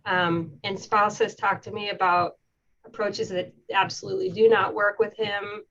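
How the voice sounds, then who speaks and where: A woman's voice: mid-range voice, little pitch movement, measured pace, fairly bright tone. Casual woman on a muffled call. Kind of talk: teleconference case discussion.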